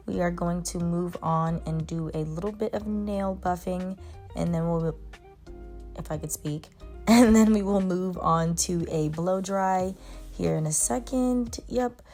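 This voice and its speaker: Chatty young woman, lowish voice, casual groomer vlog from a van with faint music behind. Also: mellow. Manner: chatty, talks to dogs in baby talk. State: congested. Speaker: mobile pet groomer.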